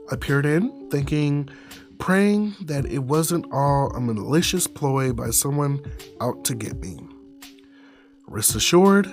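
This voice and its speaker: A man narrates a visual novel in a dramatic, deliberate way. His medium-pitched voice moves widely up and down, with soft music playing behind it. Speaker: visual novel reader and streamer.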